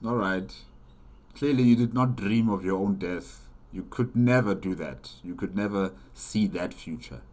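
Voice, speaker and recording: A man's voice: sits low, a little slow, darkish tone. A man hosting a reaction video, commenting casually and informally.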